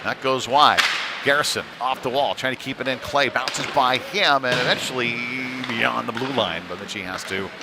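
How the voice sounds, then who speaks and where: Over clear background noise from an indoor ice rink, a man calls a hockey game live. His voice sits at an average male pitch, and he works through the play in halting pieces, often pausing mid-phrase. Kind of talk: live sports commentary. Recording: noisy arena, live stream.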